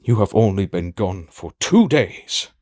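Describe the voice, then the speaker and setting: A man's voice: on the low side, very animated, measured pace, darkish tone. A man playing a proud, weary aging king and protective father in a fantasy audio drama, speaking with formal, stately diction. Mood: worried, tender.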